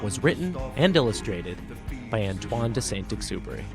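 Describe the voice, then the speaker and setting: Male host-narrator for story podcast: reflective, sincere, very varied intonation, clear music bed. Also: fairly low pitch, very animated, moderate pace. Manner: earnest, reflective. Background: music under the voice.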